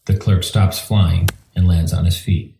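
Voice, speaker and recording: A man's voice: low-pitched, little pitch movement, unhurried, gravelly, mellow. A man reading scripted scene directions as the narrator of an audio drama, with a roomy echo.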